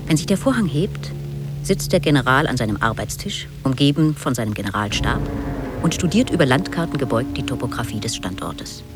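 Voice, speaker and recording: Theatrical narrator reading stage directions, really animated up and down, loud music with it. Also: medium pitch, fairly quick.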